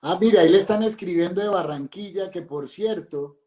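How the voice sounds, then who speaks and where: A man's voice: sits high, brisk, mellow, echoey room. A male host speaking effusively and admiringly to his guest, with hesitant restarts. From Italy. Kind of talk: casual interview.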